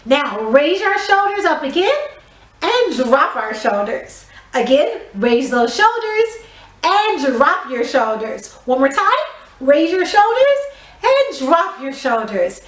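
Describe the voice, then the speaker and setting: A woman teaching a theatre warm-up to young children, giving encouraging, clearly phrased step-by-step instructions. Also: sits high, big pitch swings, slow pace. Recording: roomy echo, distant microphone.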